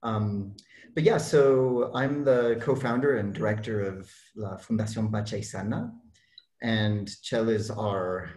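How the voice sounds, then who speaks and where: A man who directs an education organization, speaking in a thoughtful, measured way and often restarting phrases. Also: fairly low pitch, darkish tone. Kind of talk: reflective discussion. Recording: echoey room.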